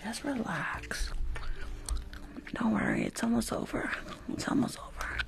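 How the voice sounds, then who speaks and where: A young woman with a New York accent whispers her way through an ASMR roleplay. Her voice sits fairly high and breaks into heavy creak. She is sassy and curt, playing a dismissive school nurse close to the microphone. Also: huge pitch swings, measured pace. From Bronx, New York. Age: young adult. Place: small room at home. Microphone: close desk microphone with fluffy cover.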